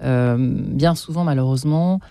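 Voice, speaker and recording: A woman hosting an interview asks questions in a mellow, warm voice, often pausing and restarting her sentences. Her voice sounds dry and close, with no echo. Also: rumbling low, creaky. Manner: hesitant, many restarts and fillers. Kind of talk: interview conversation.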